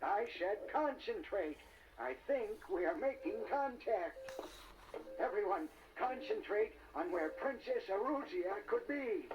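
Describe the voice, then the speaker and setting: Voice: mid-range voice, animated, unhurried, highs cut off, a little hiss or hum, lightly acted. An actor voicing a solemn, commanding mystical wizard in a children's storybook drama, speaking in a theatrical, portentous way. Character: mystical, solemn wizard medium conducting a seance. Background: music.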